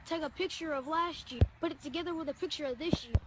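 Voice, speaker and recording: A woman's voice: sits high, fast. A woman delivering a sassy, mocking comedic rant in a social media style. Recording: noisy social media clip playback.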